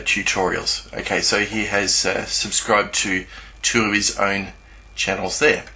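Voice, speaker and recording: A man with a low, gravelly voice that still has a bright, forceful edge. He talks through what is on his screen off the cuff, halting and searching for his words. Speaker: YouTuber presenting a screen walkthrough. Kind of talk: unscripted screen-share commentary.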